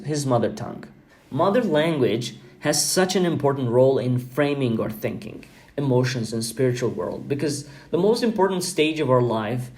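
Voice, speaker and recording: A man whose first language is Albanian, hosting a podcast in an earnest, reflective monologue, often pausing with fillers and repeating words. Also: medium pitch, a little mellow. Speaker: podcast host.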